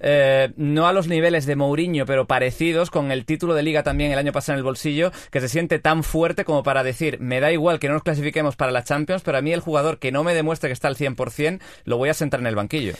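A man's voice: a little high, fast talker, hardly any pauses, no room sound. Male pundit, confident analytic talk, dry studio sound. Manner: fluent, opinionated analysis. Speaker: football pundit. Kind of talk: sports radio analysis.

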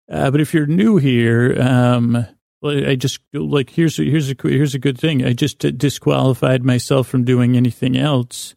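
A man hosting a bedtime podcast in a rambling, halting solo monologue, self-deprecating and whimsical, with a gravelly voice, close on the mic. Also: mid-range voice, dark tone, no echo. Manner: meandering, halting, repeats and loses his thread. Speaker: podcast host.

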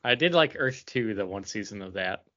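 A man co-hosting a podcast, casually previewing comics and often halting to search for words. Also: on the low side, lively intonation, pushed and bright. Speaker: podcast co-host. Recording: dull, lo-fi podcast audio.

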